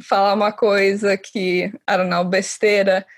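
A woman speaks casually in an interview and slips into English words here and there. Her delivery is flat and even. The recording is dry and close. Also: medium pitch, unhurried, no echo.

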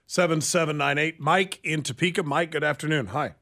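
A man's voice: on the high side, fairly quick, bright, forceful tone. An American man hosting a radio talk show and taking calls, speaking into a close studio microphone.

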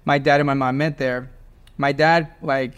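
Venezuelan man, lively storyteller. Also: mid-range voice, normal speed, clear, resonant tone. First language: Spanish. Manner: animated storyteller, laughs while talking. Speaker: host. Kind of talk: casual panel conversation.

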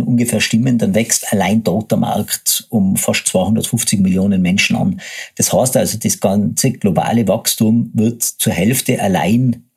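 A man's voice: on the low side, vocal fry, a little mellow, slightly rough. A man with a Tyrolean German accent hosting a solo podcast, explaining things step by step in an informal way. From Tyrol, Austria. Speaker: podcast host, agency co-founder.

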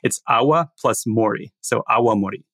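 A man's voice: mid-range voice, normal speed, fairly bright tone, dry recording. A man co-hosting a podcast, from the United States, talking in an easygoing way and laughing often. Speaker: podcast co-host. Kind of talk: casual podcast chat.

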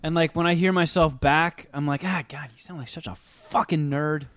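A man's voice: on the high side, steady tone, normal speed, fairly bright tone, no room sound. A man hosting a podcast, telling loose, profane, self-mocking stories in casual chat. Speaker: podcast host.

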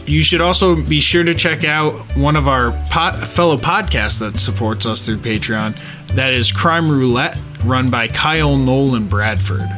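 A man's voice: medium pitch, moderate pace, a bit bright, faint music behind. A man co-hosting a casual podcast, easygoing and rambling, swearing now and then. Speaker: podcast co-host.

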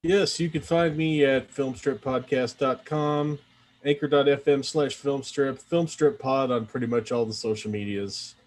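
A man's voice: medium pitch, measured pace. A man talking casually on a podcast, rambling a little with dry humor. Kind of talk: casual podcast plug with joking asides.

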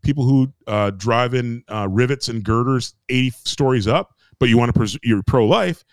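A man's voice: medium pitch, normal speed, dry recording. An emphatic, rambling American man ranting. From United States. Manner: emphatic, repetitive, rambling with restarts and swearing. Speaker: podcast co-host. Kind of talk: ranting casual podcast chat.

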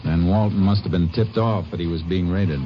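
An actor playing a calm, firm lawman with a dry wit, who also narrates in a 1950s radio drama. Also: deep voice, brisk, background noise, lightly acted. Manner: calm, firm, dryly sardonic. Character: steady, dry-witted male Texas Ranger. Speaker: narrator and lead character. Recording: muffled old radio broadcast.

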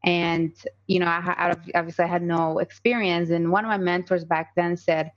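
A low-voiced Colombian woman in her thirties, a native Spanish speaker who speaks English with an accent. She talks earnestly as a podcast guest, piling up fillers and restarts. The recording sounds dull and lo-fi. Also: flat delivery, a little fast. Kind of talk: casual podcast conversation.

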